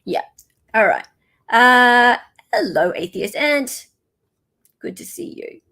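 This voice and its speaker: A woman's voice: fairly high pitch, lively intonation, takes it slowly, pushed and bright. An Australian woman hosting a livestream, talking in a casual, chatty way and commenting informally to her viewers. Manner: chatty, informal, greets viewers mid-talk. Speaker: livestream host.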